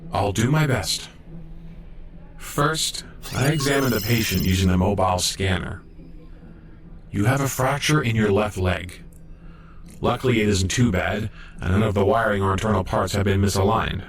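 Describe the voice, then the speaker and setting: A man's voice: lowish voice, normal speed, pauses often, slight background noise, natural voice. A man playing an earnest, dedicated young robot medic in an audio drama, reflective and polite. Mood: polite, attentive. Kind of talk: audio drama narration and dialogue.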